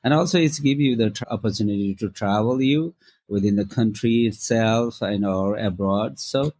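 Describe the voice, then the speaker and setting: A middle-aged man from Nepal with a Nepali accent, answering interview questions in a halting way with many fillers and restarts. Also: fairly low pitch, a little mellow. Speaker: guest, travel company founder.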